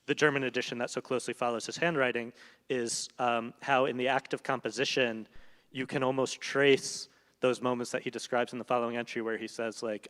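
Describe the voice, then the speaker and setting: A man speaks reflectively on a stage mic in a large hall, pausing to find his words. His voice is smooth and resonant, of medium pitch. The sound carries a long hall reverb. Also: a bit bright. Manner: thoughtful, often searching for words. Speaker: translator in a post-reading conversation. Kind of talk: reflective onstage talk.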